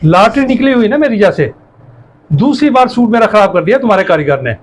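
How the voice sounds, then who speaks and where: Blustering, high-voiced cunning father , male actor. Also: very animated, a little fast. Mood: indignant bluster. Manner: blustering, dismissive. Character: cunning, hot-tempered father and dishonest customer. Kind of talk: moral story drama acting.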